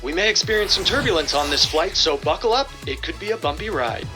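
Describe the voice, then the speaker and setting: A woman's voice: fairly low pitch, animated, fast, voice pushed down, lightly acted. A woman playing an airline pilot making a formal cabin announcement over music. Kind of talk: mock in-flight announcement.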